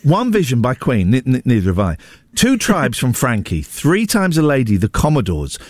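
A man with an English accent presenting a casual radio show in an excitable, rambling, jokey way. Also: mid-range voice, normal speed, no echo. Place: radio studio.

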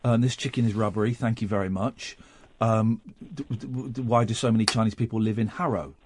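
A man's voice: fairly low pitch, fairly even intonation, brisk, background noise. A male radio host in casual studio chat, rambling with stammered restarts and musing questions.

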